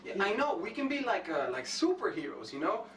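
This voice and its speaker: A man's voice: high-pitched, sing-song, fairly quick, background noise. A man playing a naive, dim-witted young slacker ghost hunter in a student TV sitcom, rambling in slangy fragments. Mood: naive enthusiasm. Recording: echoey room, distant mic, music behind.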